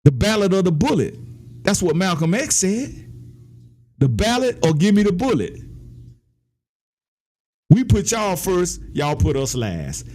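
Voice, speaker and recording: A man's voice: sits high, big pitch swings, measured pace, pauses often, a little mellow. An American man giving an opinionated political commentary, speaking emphatically and repeating phrases for effect. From United States.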